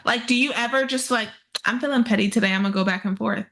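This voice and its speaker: A woman's voice: on the high side, fast, fairly bright tone, poor audio. A woman hosting a podcast, asking questions in a casual, blunt and profane way. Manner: blunt and profane, cuts in with agreement. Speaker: podcast host. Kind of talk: casual interview chat.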